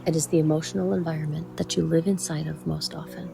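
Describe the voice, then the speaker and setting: A meditation guide speaking in a soothing, measured way with frequent pauses and a soft, at times breathy voice. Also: medium pitch, little pitch movement, music in the background.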